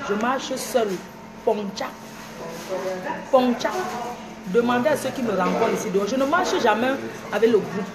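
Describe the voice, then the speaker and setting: A woman's voice: fairly high pitch, normal speed, long pauses. A woman from Cameroon with a Cameroonian French accent, lecturing her followers emphatically and repetitively in a live video from a train station. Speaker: social media personality. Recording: noisy station ambience behind her.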